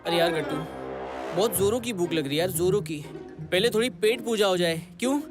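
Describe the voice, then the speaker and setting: A man voicing an excitable, comic cartoon hero who chatters and repeats himself in a Hindi dub. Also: high-pitched, fairly quick, over music, lightly acted. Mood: eager, hungry.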